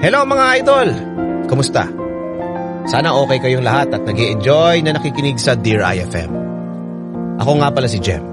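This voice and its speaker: An actor playing a lovelorn young letter writer who narrates a radio drama in an expressive, confiding way, with a Filipino accent. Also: male voice, medium pitch, normal speed, pauses often, over loud music, natural voice. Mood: chatty, confiding.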